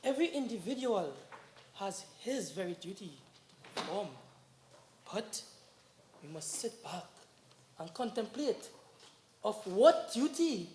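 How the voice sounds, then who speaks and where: A man's voice: sits high, very animated, full character voice. A young man playing a righteous, earnest exiled prince in a solemn, declamatory stage monologue. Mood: earnest, counselling. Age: young adult. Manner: solemn, measured, declamatory with dramatic pauses. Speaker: student stage performer. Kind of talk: theatrical devotional monologue. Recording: echoey hall, noticeable background noise.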